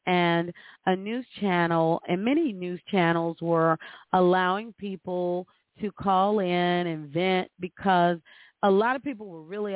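A woman's voice: mid-range voice, no room sound. A woman's voice comes through narrow and phone-like, with very little room around it. She speaks as a spiritual commentator and host, earnest and exhorting. She repeats phrases for emphasis as she delivers guidance. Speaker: host of astrology forecasts. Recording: thin, narrow phone-like sound.

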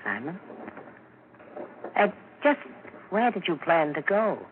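A small, sly stranger in a 1940s radio horror play. His highish voice swings widely in pitch yet stays guarded and knowing, with halting phrases, over a tinny old broadcast. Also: big pitch swings, slight background noise, full character voice. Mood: knowing, hesitant. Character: small dark man, sly, formerly blind stranger.

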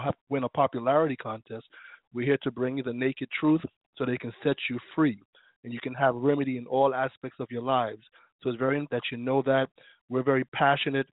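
Fervent preaching man, a bit raspy. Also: mid-range voice, dry recording. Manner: fervent, preacherly, prays and reads scripture. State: slightly raspy from illness. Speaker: minister. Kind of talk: preaching on an internet radio show. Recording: dry tinny phone line.

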